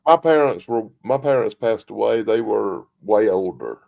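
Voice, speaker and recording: Male, late 50s, gravelly, stammering chatter, dry mic. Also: fairly low pitch, lively intonation, normal speed, a little mellow, no echo. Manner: stammering repeats, blunt. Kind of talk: casual chat.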